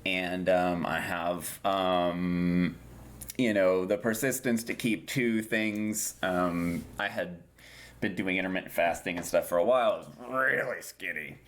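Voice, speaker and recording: A man's voice: deep voice, measured pace, fairly bright tone. A man in his thirties co-hosting a podcast, chatting casually and rambling with lots of ums and restarts. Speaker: podcast co-host. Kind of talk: casual chat.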